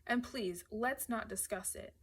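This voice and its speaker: A woman's voice: on the high side, a little slow, slightly rough. A guarded adolescent girl, voiced by a woman narrator, serious and proper. She speaks with precise restraint, as in an audiobook. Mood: terse.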